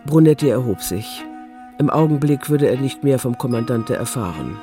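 A man's voice: mid-range voice, animated, normal speed, warm tone. A man reading scripted literary narration as the narrator of a crime story. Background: music.

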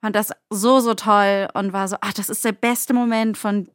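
A woman's voice: mid-range voice, steady tone, normal speed, smooth, no echo, studio quality. A woman co-hosting in casual chat, speaking in an effusive, informal way. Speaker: co-host and scriptwriter.

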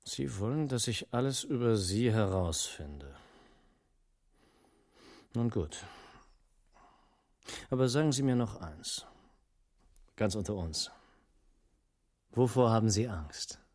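A man's voice: fairly low pitch, lively intonation, slow, long pauses, a little mellow, heavily acted. A man playing a brash, suspicious small-time crook in a dubbed film drama, blunt, sardonic and probing.

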